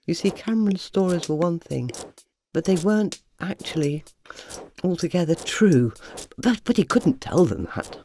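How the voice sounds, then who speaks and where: A woman's voice: lowish voice, expressive, normal speed, dark tone, slight background noise. A woman narrating a children's bedtime story in a scripted storytelling style. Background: music.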